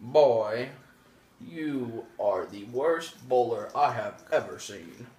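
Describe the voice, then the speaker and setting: A man's voice: mid-range voice, animated, slow, darkish tone. A man playing an exasperated young peacemaker in an amateur puppet show skit. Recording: distant mic, echoey room, background noise.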